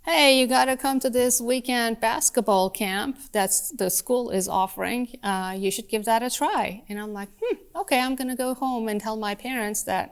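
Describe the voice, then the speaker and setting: Turkish-born woman in her 40s, smooth clear voice, laughs a lot while chatting. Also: on the high side, normal speed, few pauses. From Turkey. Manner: thoughtful, laughs often. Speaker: guest, department chair. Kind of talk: casual podcast conversation.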